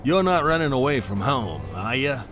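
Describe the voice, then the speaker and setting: An actor playing a plain-spoken, tough middle-aged truck driver in an audio drama. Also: male voice, medium pitch, big pitch swings, moderate pace, over music, lightly acted. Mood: easygoing. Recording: clear vehicle noise behind.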